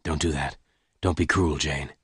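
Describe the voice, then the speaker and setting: A calm Old West gunfighter, played by a male reader, very low and quiet. He speaks slowly and gently with a hard edge, in a close, dry storybook recording. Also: husky, no room sound, heavily acted. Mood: calm, hurt. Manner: calm, slow, gentle but hard-edged. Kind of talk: audiobook character dialogue.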